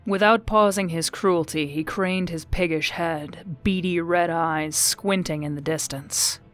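A woman narrates dramatic fiction in a low voice. She takes her time with the scripted prose, reading as an audiobook performer over faint music. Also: steady tone, measured pace. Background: music behind the voice.

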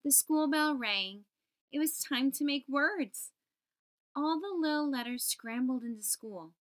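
A woman hosting storytime, reading a children's picture book aloud and enunciating deliberately for young listeners. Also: high-pitched, a bit bright. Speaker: storytime host.

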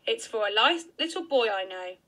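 A woman voicing a busy, kindly aunt in a children's story, speaking briskly and kindly. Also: fairly high pitch, animated, bright, forceful tone.

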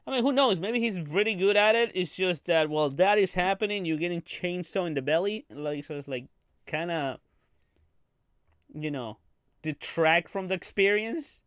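A man's voice: high-pitched, moderate pace, a bit bright, clear, resonant tone, no echo. A man streaming a live reaction to anime clips, rambling casually with crude jokes and frequent giggling.

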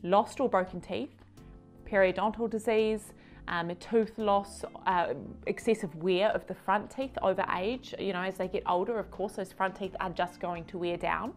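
A woman's voice: medium pitch, steady tone, moderate pace, faint music behind. A woman in her thirties, a veterinarian, explaining to camera in a chatty way while gesturing with her hands in a home studio.

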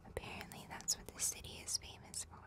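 A young woman plays a gentle, playful bartender in a futuristic hotel. She speaks in a breathy whisper, slowly and intimately. It is a soothing ASMR roleplay. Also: natural voice. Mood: soothing, tender. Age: young adult. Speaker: ASMR performer.

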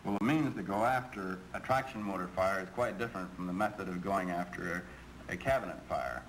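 Matter-of-fact American shop man played by a man, fairly deep, for a 1950s training film. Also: fairly quick, fairly bright tone. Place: conference room. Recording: noisy 1950s film soundtrack.

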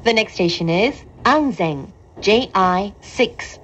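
A woman train announcer reading scripted station announcements in a calm, formal, deliberate manner. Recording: dull train PA with clear rumble. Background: train running noise.

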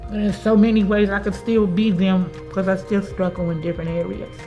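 A woman's voice: medium pitch, flat delivery, fairly quick, warm tone, highs cut off. Emphatic older American woman, music behind. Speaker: church volunteer. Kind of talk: personal testimony interview. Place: indoor church sanctuary, seated interview.

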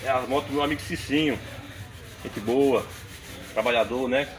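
Um homem nordestino brasileiro gravando um vlog casual numa feira de motos ao ar livre, falando com entusiasmo e repetindo os preços. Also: male voice, mid-range voice, steady tone, normal speed. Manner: chatty, enthusiastic, repeats prices back. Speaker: vlogger filming a motorcycle market. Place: outdoor motorcycle fair.

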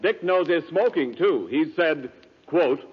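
A man's voice: on the high side, animated, a little slow, slightly rough. An American man reading advertisements as a 1940s radio announcer. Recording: muffled, reverberant 1940s radio recording.

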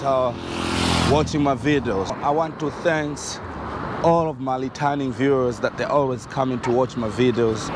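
Man, thirties, casual vlog, camera at arm's length, loud street traffic. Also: mid-range voice. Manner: casual, repetitive, addresses viewers as guys. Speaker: vlogger. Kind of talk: walking-tour vlog. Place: outdoors by a busy road. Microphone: camera held at arm's length.

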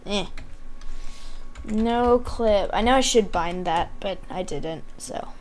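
A woman's voice: mid-range voice, takes it slowly. A woman doing casual gameplay commentary, thinking aloud to herself, with a voice that is starting to give out. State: losing her voice, often clearing throat. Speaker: gamer hosting a gameplay video. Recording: background hiss, keyboard and mouse clicks.

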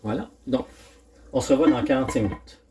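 A middle-aged man, hosting a casual cooking demonstration at home and thinking aloud with frequent pauses. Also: medium pitch, dark tone. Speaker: home cooking channel host. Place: home kitchen, indoors.

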